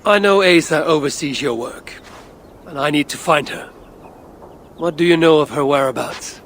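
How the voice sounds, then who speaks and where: A proud Norse god for a video game cutscene. The voice is gravelly and a little high for a man. He speaks with measured, wry command, over faint background noise. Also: fairly bright tone. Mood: earnest, calm. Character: proud, dryly witty Norse god warrior, male.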